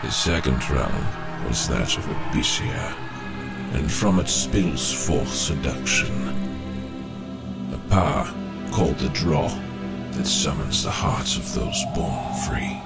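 A man performing a solemn, grave storyteller of gods and creation in an epic fantasy audio drama, measured and portentous. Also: very deep voice, lively intonation, vocal fry, exaggerated voice. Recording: loud orchestral music behind.

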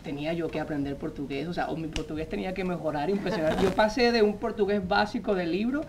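A man, a magazine editor telling his story as a guest in a TV studio interview, animated and full of "eh" fillers, speaking into a lapel mic. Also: sits high, quick pace, noisy background. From Colombia. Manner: animated storytelling, frequent "eh" fillers, gestures while talking. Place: TV news studio set.

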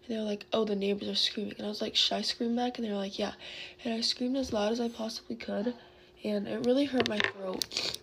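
A young woman in her late teens chatting casually while doing her makeup, rambling and filling her talk with "like", her voice worn and going hoarse. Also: mid-range voice, fairly even intonation, fairly quick, a bit bright, a little hiss or hum. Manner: rambling, heavy use of "like", chatty. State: losing her voice. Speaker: beauty vlogger. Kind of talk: casual get-ready-with-me chat. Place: bedroom at a vanity desk, indoors. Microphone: phone camera close in front.

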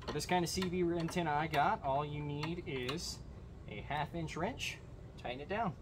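A man's voice: a little high, normal speed. A young American man giving instructional how-to narration as host of a DIY car channel. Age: young adult. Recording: clear background noise, clicks and jangling.

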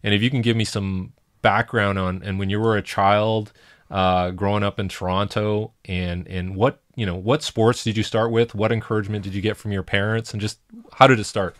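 Low-voiced man hosting a podcast. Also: level tone, moderate pace, no room sound, studio quality. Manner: enthusiastic, rambling with many restarts. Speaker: podcast host. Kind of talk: interview podcast. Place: small studio with backdrop. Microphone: desk mic on boom arm.

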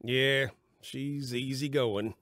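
A man's voice: mid-range voice, fairly even intonation, takes it slowly, fairly bright tone, dry recording, clean studio sound. An actor playing an easygoing, folksy, teasing pot-smoking male relative in an audio drama. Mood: relaxed friendly.

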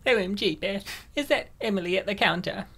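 A young woman acted by a woman in a comic dub, speaking at a middle pitch. She comes across as a gossipy but concerned friend. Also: fairly quick, creaky, fairly bright tone, slightly rough. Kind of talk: amateur comic dub acting.

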